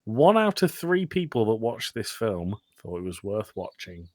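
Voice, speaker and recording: Low-voiced man hosting a podcast. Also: very animated, moderate pace, no echo, studio quality. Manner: joking, conversational. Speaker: podcast host. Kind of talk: casual film discussion.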